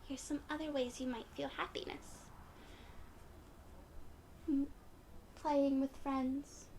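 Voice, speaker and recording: Slow, high-voiced woman reading to children. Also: level tone, slight background noise. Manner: gentle, encouraging, addresses children directly with questions. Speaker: children's read-aloud storyteller. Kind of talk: expressive picture-book read-aloud for young children.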